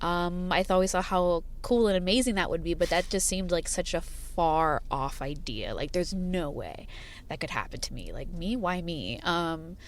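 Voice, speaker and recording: A woman's voice: on the low side, animated, moderate pace, a little hiss or hum. A woman who grew up with sign language as her first language, chatting as a band's singer-songwriter in a parked car, rambling with many fillers and laughs. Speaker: band singer-songwriter, guest. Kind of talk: casual interview chat.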